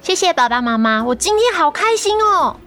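一位女演员在广播剧中饰演七岁、可爱调皮的小女儿。. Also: female voice, very high voice, big pitch swings, moderate pace, sharp tone, full character voice. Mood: happy, cheeky. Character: sweet, playful seven-year-old little girl. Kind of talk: radio drama acting.

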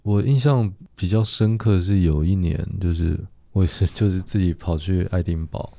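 A man's voice: deep voice, normal speed, warm tone, no room sound. A man telling a personal story as an interview guest, tentatively rephrasing as he goes. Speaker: guest actor. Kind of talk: casual anecdotal interview talk.

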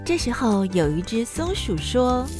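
A woman narrates a children's tale with expressive storytelling. Her voice is on the high side and swoops with lots of animation, with music playing clearly behind her. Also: measured pace.

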